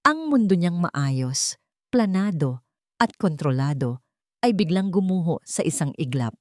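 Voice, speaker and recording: A woman's voice: fairly low pitch, big pitch swings, measured pace, darkish tone, dry recording, studio quality. A woman narrating a scripted romance drama in a theatrical, suspenseful way. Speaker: story narrator.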